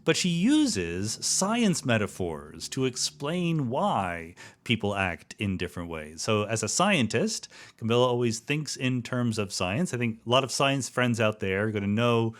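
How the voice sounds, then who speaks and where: A man's voice: mid-range voice, sing-song, measured pace, hardly any pauses. A man hosting a podcast, talking conversationally and explaining ideas with rhetorical asides. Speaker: podcast host. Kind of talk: conversational monologue and interview.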